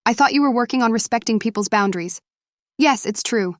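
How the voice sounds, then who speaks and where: A woman's voice: fairly high pitch, a little fast, no echo, lightly acted. Woman playing a dry, no-nonsense cartoon woman. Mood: angry. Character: exasperated, guarded but fair-minded woman with secret romance. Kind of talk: animated web series voice acting.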